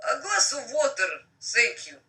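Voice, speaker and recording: A woman's voice: fairly high pitch, big pitch swings, unhurried, sharp tone, exaggerated voice. A woman voicing a nervous, polite young female student with hesitant, murmured replies in a story reading. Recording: distant microphone on live stream.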